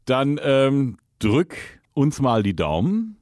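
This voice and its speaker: A man hosts a prank phone show in a chatty, conversational way. His pitch is mid-range and swings around in an animated manner. The sound is dry, with very little room to it. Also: slow, dry recording. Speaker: radio prank show host. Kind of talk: casual chat and prank phone call.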